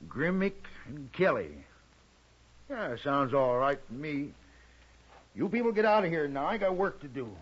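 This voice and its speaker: An actor playing a gruff but soft-hearted frontier stable owner with a husky voice and a rural Western American accent. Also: male voice, on the high side, expressive, measured pace, long pauses. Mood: gruffly kind. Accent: rural American West. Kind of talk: 1950s western radio drama acting. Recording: muffled old radio recording.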